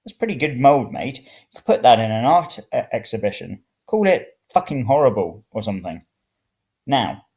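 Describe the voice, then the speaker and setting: A man's voice: medium pitch, very animated, normal speed, a little mellow. A man reading the part of a brash, blunt bloke in a story, with exclamatory delivery. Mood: breezy. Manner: blunt, exclamatory, foul-mouthed. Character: brash, sweary young bloke, the narrator's mate.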